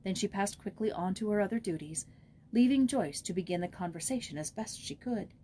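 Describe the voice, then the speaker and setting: A woman's voice: medium pitch, lively intonation, a little fast, warm tone. A woman reading a scripted children's story as an audiobook narrator. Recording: noticeable background noise.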